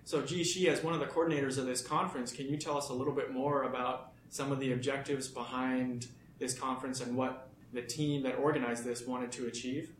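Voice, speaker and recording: A man's voice: mid-range voice, fairly even intonation, fairly quick, echoey room, slight background noise. A man hosting a podcast, welcoming listeners and introducing student guests in an upbeat way. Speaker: podcast host. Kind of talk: scripted podcast intro and interviewing.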